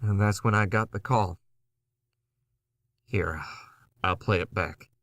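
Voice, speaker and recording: A haunted, worn-out man, played by an actor, telling his story in terse, grim fragments. The voice is on the low side and very dry, close to the listener, in the style of horror audio drama. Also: slow, a bit bright, no echo. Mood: weary, resigned. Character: weary, haunted male survivor narrating his ordeal. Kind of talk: first-person horror drama narration.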